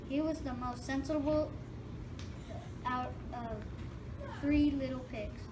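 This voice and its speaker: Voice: high voice, takes it slowly. A young female narrator reading a scripted children's story for a school reader's theater. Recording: distant mic, roomy, loud background noise.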